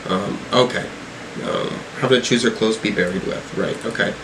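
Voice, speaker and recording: A man's voice: fairly low pitch, normal speed, noisy background. A man casually reviewing an album, reading lyrics and thinking aloud in a hesitant way as he works out what they mean. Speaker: music reaction video host.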